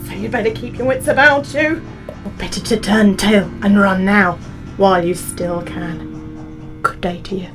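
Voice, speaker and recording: A woman's voice: mid-range voice, steady tone, distant mic, over music, lightly acted. A woman playing a creepy, over-familiar male stranger in a comic gothic drama, unctuous and ominous, drawing out the words. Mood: grave warning. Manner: ominous, unctuous, drawn-out delivery.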